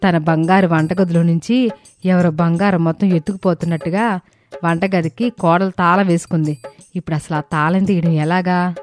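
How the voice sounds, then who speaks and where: A woman's voice: lowish voice, steady tone, normal speed, darkish tone, faint music behind, close to an everyday voice. A woman playing a greedy, scheming middle-aged mother-in-law in a dramatised story, insistent and argumentative. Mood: annoyed, puzzled. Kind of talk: dramatised moral story acting.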